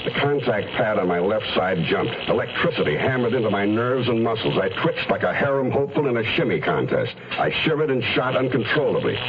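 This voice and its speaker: An actor playing a wisecracking tough private detective, terse and sardonic, in a radio crime drama. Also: male voice, medium pitch, lightly acted. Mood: pained, intense. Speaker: lead actor and narrator. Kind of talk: hardboiled radio detective drama, first-person narration. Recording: muffled, noisy old radio drama recording.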